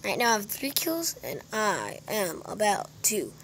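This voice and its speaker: A woman's voice: mid-range voice, wildly swooping pitch, a little slow, a bit bright, poor audio. A young woman giving casual gameplay commentary, muttering to herself as she records on a phone. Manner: muttering to self. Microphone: phone screen recorder microphone. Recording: faint background noise, phone recording.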